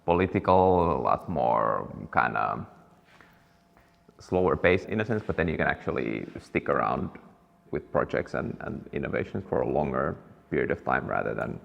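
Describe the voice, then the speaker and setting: A young man from Finland, chatting casually in a barber shop and pausing to find his words. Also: very deep voice, expressive, creaky, highs cut off. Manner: thoughtful, searching for words. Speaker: guest, business partner. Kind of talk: casual conversation. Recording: reverberant room, faint ambience.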